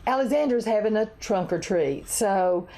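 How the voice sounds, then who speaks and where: A woman's voice: medium pitch, lively intonation, moderate pace, warm tone, a little hiss or hum. A woman, hosting a local TV chat show, chatty and laughing often. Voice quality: raspy. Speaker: chamber of commerce talk show host. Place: local TV studio.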